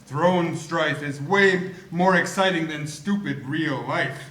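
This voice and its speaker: A voice actor playing an excitable grown man who gushes about a fantasy show. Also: on the high side, crowd noise, rough recording. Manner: eager and gushing, rushing his words. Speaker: voice actor at a table read.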